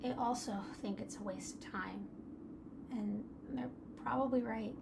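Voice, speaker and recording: A woman's voice: a little high, unhurried, vocal fry. Woman playing a timid, hesitant teenage girl. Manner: soft, hesitant, self-doubting, stammers. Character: timid, gentle teenage girl from strict religious family. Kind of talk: audio drama acting. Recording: noisy ambient background.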